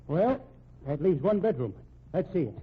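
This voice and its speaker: A man's voice: fairly high pitch, big pitch swings, moderate pace, slightly rough. A man playing an easygoing, folksy police officer in an old-time radio drama. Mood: easygoing, brisk. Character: brisk, folksy male police officer searching a house. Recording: muffled, noisy old radio recording.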